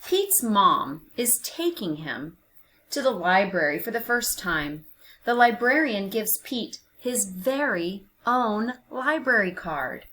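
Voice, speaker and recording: A woman narrating a picture book for young children, expressive and deliberate, with dramatic emphasis and hushed phrases. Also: medium pitch. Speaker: children's read-aloud narrator.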